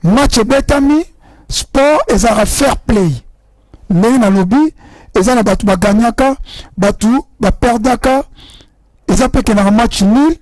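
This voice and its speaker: A man's voice: extremely high pitch, measured pace, darkish tone, no echo, low-quality recording. A young man from Congo with a Congolese accent, mixing French and Lingala as he hosts a rallying talk show monologue.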